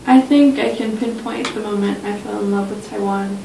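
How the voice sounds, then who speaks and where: A woman's voice: steady tone, normal speed, creaky, dark tone. Young woman in an echoey, distant recording. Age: young adult. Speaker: study abroad student. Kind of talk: casual interview testimony, reminiscing. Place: small office meeting room, indoors. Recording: roomy, distant mic, faint low rumble.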